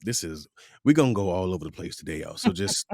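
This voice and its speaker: Animated man hosting a podcast. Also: low-pitched, fast talker, gravelly, dry recording, clean studio sound. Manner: animated, rambling storyteller. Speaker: podcast host. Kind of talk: casual interview chat.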